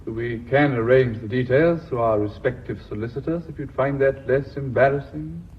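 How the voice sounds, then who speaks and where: Actor as shrewd sidekick, reverberant sound. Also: male voice, mid-range voice, normal speed, a little hiss or hum, close to an everyday voice. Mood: concerned, protesting. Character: shrewd, legalistic businessman's sidekick. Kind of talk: mid-century British radio drama acting. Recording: dull, reverberant vintage radio recording.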